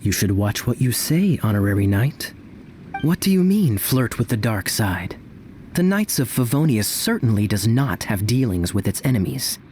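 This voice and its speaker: An actor playing a suave, teasing knight officer in a video game, with a drawling, ironic and smoothly amused delivery. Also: male voice, medium pitch, big pitch swings, moderate pace, a little mellow, a little hiss or hum, natural voice. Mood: wry, amused. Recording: music under the voice. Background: game background music.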